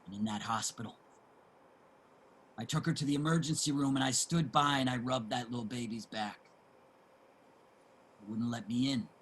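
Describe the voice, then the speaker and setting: Man playing gruff, bitter street guy, gravelly edge. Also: medium pitch, a bit bright, a little hiss or hum. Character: bitter, wounded, gruff homeless man named Joe. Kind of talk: stage play table reading.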